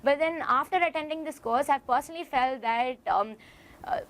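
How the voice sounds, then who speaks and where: A woman's voice: high-pitched, normal speed, a bit bright. A teenage girl with a South Indian accent, earnestly giving a personal testimonial in formal wording as a student. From born and raised in Dubai, family from Tamil Nadu, India. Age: teens.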